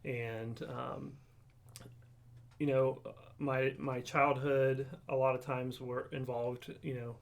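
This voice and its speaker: A man in his forties from Ohio, telling his faith story in a halting, reflective way with many pauses and ums. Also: medium pitch, flat delivery, creaky. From Ohio, USA. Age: 40s. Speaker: guest sharing testimony. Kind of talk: casual conversational testimony.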